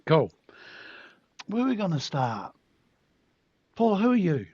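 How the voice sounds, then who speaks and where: A man's voice: high voice, sing-song, takes it slowly, darkish tone, slightly rough, dry recording. A man hosting a podcast interview, chatty and self-deprecating. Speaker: podcast host. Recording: dull, dry, lo-fi sound.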